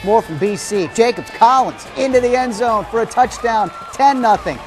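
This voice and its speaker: High-pitched, excited American sports anchor, male. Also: normal speed, some chatter behind. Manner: excited, punchy broadcast delivery. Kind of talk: high school football highlights commentary. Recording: TV sports broadcast with music behind. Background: music bed.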